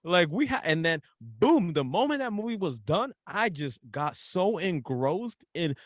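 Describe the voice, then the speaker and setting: Dry, animated man telling a story. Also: sits high, unhurried, no room sound. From Dominican Republic. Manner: animated storyteller, repeats and restarts when excited. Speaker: guest author. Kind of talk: casual podcast interview.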